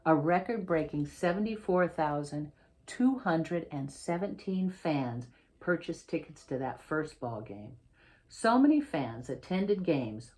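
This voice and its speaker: A woman's voice: sits low, a little mellow. A woman author reading a picture book aloud, expressively, and explaining details directly to young listeners.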